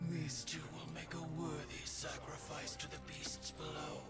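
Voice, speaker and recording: An actress voicing a menacing female sea witch, ominous and ceremonial, in an animated game cinematic. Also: deep voice, unhurried, faint music behind.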